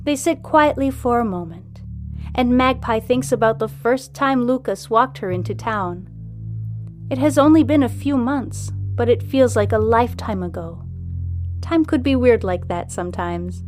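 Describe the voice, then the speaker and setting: A woman with a fairly high voice reads a scripted story as a podcast host. Faint music plays behind her narration. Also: expressive, normal speed, darkish tone.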